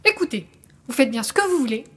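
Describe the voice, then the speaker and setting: A woman's voice: sits high, big pitch swings, fast, a bit bright, husky, full character voice. A woman playing an officious, defensive resort legal representative in a comedic audio drama, speaking formally and pedantically. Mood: defensive, pompous.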